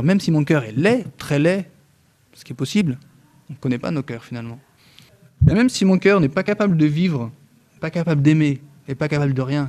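A man in his thirties gives an earnest religious talk to an audience. His voice sits at an ordinary middle pitch for a man, and his loudness rises and falls as he presses his points. He stumbles often on fillers and restarts, with dry touches of humor. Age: 30s. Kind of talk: spoken religious teaching to an audience.

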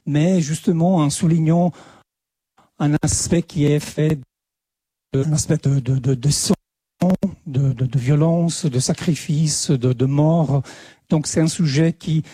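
A man with an Italian accent, a native Italian speaker, giving a talk at a conference in French, halting often and repeating words as he searches for them. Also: a little high, fairly even intonation, dark tone, breathy edge, no room sound. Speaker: lecturer.

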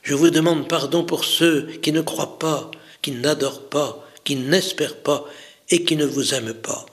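A man voicing a gentle, solemn adolescent angel in a religious story, speaking in measured, prayerful phrases. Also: fairly high pitch, close to an everyday voice.

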